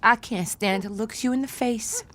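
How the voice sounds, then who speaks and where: A woman acts a young American niece in a radio play, her voice fairly high. She is stubborn and wounded, throwing out blunt accusations with open defiance. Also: moderate pace, fairly bright tone, lightly acted. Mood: wounded, bitter. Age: young adult. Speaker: actress. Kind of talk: naturalistic audio drama acting.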